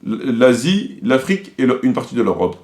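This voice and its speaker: A man's voice: medium pitch, sing-song, normal speed, a little mellow, slight background noise. A man giving argumentative, accusatory spoken commentary, insistently repeating phrases for emphasis. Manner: accusatory, polemical. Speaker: commentator presenting a video.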